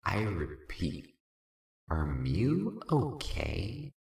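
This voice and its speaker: A man's voice: sits low, huge pitch swings, drawn-out words, creaky, a little mellow, exaggerated voice. A man playing a cocky, goofy sprite creature with clawed hands in a fan fiction audio drama, talking in a casual slangy way. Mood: cocky, amused. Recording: roomy echo.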